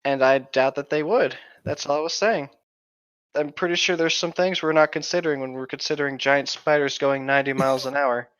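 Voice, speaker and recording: Higher-voiced man, slightly dull recording. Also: steady tone, fairly quick, a bit bright, clear, resonant tone. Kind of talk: casual chat.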